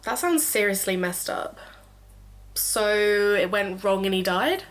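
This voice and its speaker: A woman's voice: medium pitch, expressive, slow pace, fairly bright tone. A woman playing a casual, curious young friend in an audio drama, informal and inquisitive. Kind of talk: naturalistic audio drama dialogue. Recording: echoey room with faint noise.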